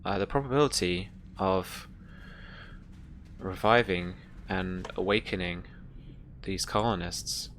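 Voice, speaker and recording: A man voicing a ship's computer in a tabletop game, with formal, measured, obedient reporting. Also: low-pitched, fairly bright tone, a little hiss or hum.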